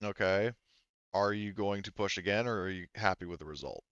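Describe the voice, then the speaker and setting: A man refereeing a tabletop game as game master in casual, hesitant, halting phrases. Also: on the low side, fairly bright tone, smooth, no room sound, muffled recording.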